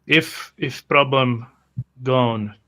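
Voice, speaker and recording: A man playing an earnest peacemaking adventurer who speaks in halting, simple broken sentences. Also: mid-range voice, fairly even intonation, sharp tone. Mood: cautious.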